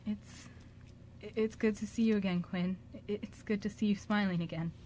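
A woman's voice: medium pitch, fairly even intonation, moderate pace, dark tone, lightly acted. Woman playing a stammering, earnest, sweet man. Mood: tender. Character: grateful, eager, sweet man waking in hospital. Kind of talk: amateur radio drama acting.

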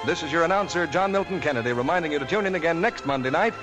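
An earnest man announces on an old radio broadcast, his pitch rising and falling a lot. His slightly high voice carries over clear music in the background. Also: expressive, very fast. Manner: earnest, declamatory.

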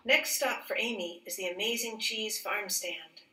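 A woman teacher reading a children's picture book aloud in a careful, expressive, clearly phrased way. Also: medium pitch, a little slow. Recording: roomy echo, distant microphone.

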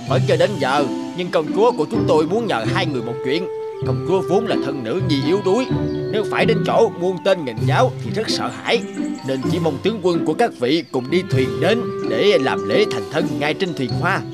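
A man's voice: a little high, very animated, fairly quick, over loud music. A man voicing a loyal royal general, polite, respectful and formal, in a cartoon folk tale. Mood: firm, polite.

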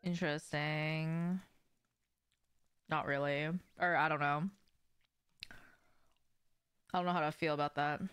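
A young woman with a smooth, slightly low voice is livestreaming from her desk. She talks casually to her viewers as she plays, in informal gamer slang with some swearing. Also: fairly even intonation, slow, long pauses, fairly bright tone. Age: young adult. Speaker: game streamer. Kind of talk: casual livestream chat with viewers while gaming. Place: indoors, small home room with shelves.

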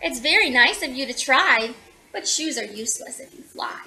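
A woman's voice: high-pitched, expressive, normal speed, bright, forceful tone, slight background noise, pitched below the performer's own voice, full character voice, low-quality recording. A woman voicing a practical, kindly storybook myna bird, matter-of-fact and polite.

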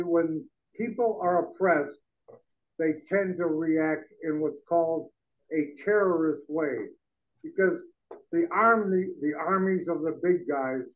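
A man's voice: on the high side, phone-quality sound. An older man speaking earnestly at an open-mic forum in a bar room. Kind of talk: public forum speech. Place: bar room with a small audience. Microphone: stand mic.

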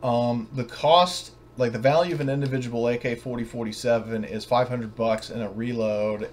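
A man narrating and refereeing a tabletop game as game master, halting and often correcting himself, over an online voice chat. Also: mid-range voice, steady tone. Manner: halting, hedging, self-correcting. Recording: noisy online voice chat recording.

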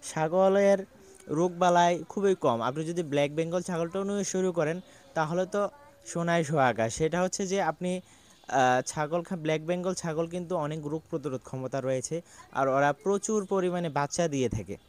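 A young man from Bangladesh, a small goat farmer, giving earnest, explanatory advice to the camera in a farmyard and pausing on "ah" as he thinks. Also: a little high, a little mellow. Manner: earnest and explanatory, with frequent "ah" pauses. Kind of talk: casual advice talk to camera. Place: outdoor farmyard with goats and pigeons. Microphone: small handheld mic near the mouth.

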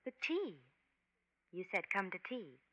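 A woman's voice: medium pitch, very animated, unhurried, very noisy. A woman playing a coy, forward lady caller, coquettish and insistent, in 1950s radio acting. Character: coy, forward female caller, miffed admirer. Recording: 1950s radio drama recording.

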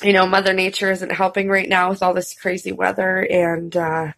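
Stuffy sick lady in her 30s, crusty gravelly voice, lots of ums, dry close webcam sound. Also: fairly low pitch, monotone, moderate pace, a bit bright, dry recording. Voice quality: crusty, hoarse voice. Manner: rambling and apologetic, many "um"s. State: congested with a head cold and a sore, croaky throat. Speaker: streamer and vlogger. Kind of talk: casual chat to camera. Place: living room, seated on a couch. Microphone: webcam at close range.

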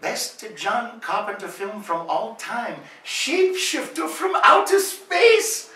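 Man doing an excitable, high-pitched man. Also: full character voice. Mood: excited. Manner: exclaiming, halting, drawn-out delivery. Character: excitable Japanese man, giggly, speaking broken English. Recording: roomy, distant mic, noisy room.

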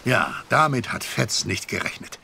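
A man's voice: medium pitch, very animated, normal speed, creaky, heavy background noise. A man narrating a scripted story for a children's audio drama.

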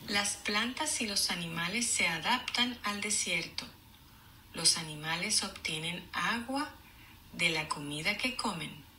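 Woman, teacher's read-aloud, slow and deliberate, very bright forceful tone, faint background noise. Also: lowish voice. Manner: deliberate, explanatory, enunciating for young learners. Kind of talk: children's read-aloud lesson.

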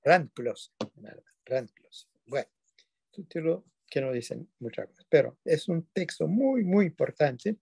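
Gravelly older man lecturing by video call. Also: a little high, expressive, unhurried, warm tone, no room sound. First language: not Spanish. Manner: digressive, frequent tag questions, self-corrects. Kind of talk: online lecture. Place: dim home room with bookshelves. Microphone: video call webcam.

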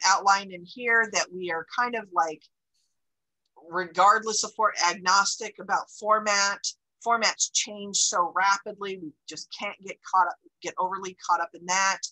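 A woman's voice: medium pitch, bright, forceful tone, rough recording. A woman library director, giving a chatty report on a video call with many fillers and restarts.

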